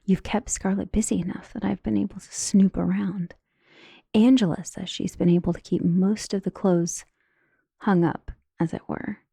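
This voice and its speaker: A devoted maid voiced by a woman speaks in a hushed, soft and mellow voice with a creaky edge. She is earnest and deferential, confiding like a conspirator in an audio drama. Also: mid-range voice, fairly even intonation, moderate pace, dry recording, studio quality. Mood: conspiratorial. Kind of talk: dramatic audio roleplay acting.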